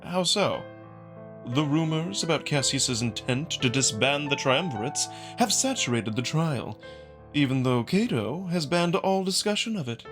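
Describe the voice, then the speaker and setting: A man voicing a genteel, scheming male jackal noble in a visual novel, with a high, soft voice and formal, measured diction. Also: lively intonation, music in the background. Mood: smooth, persuasive. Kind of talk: visual novel dramatic reading.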